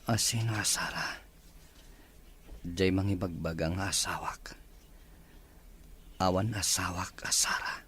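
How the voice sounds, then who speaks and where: A man's voice: low voice, slow, pauses often, fairly bright tone. A man acting a confused, sulky, pining husband in an audio drama. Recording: faint background noise.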